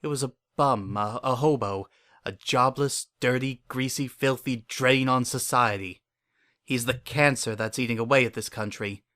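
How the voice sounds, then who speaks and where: A man's voice: medium pitch, moderate pace, close to an everyday voice. A man acting a paranoid, unhinged, obsessive father. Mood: disgusted, agitated. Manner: intense, repetitive, brooding, swinging between hushed and frantic. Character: paranoid, obsessive, unhinged father protecting his pony daughter. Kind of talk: dramatic first-person horror fan fiction narration.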